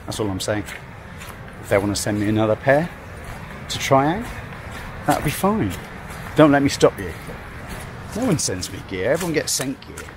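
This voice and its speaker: An older man talks to a camera held at arm's length, in a chatty, self-deprecating ramble. Loud rushing river water roars behind him outdoors. His pitch sits at an ordinary middle height for a man. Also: sing-song, noisy background. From England. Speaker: hiking vlogger. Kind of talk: casual chat to camera. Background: roaring river water.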